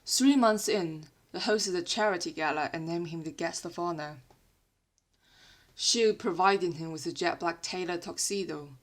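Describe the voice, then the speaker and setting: A woman who is not a native English speaker, with a British-influenced accent, reads fiction aloud in an expressive, literary storytelling manner. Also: lowish voice. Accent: British-influenced non-native English. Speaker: podfic reader. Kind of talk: dramatic scripted fiction narration.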